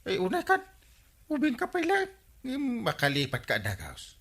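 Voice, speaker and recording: A man with an Ilocano accent playing a scheming, money-minded, excitable older village man in a radio comedy drama, exclaiming and wheedling. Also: very high voice, normal speed, vocal fry, heavily acted.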